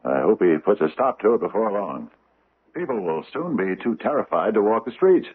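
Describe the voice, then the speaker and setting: An actor playing an imposing, testy older judge with formal, halting speech in an old-time radio drama. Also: male voice, fairly low pitch, sing-song, breathy edge. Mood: grave, formal. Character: imposing older male judge, secretly a killer, testy and hungry. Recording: thin, narrow-band old radio broadcast.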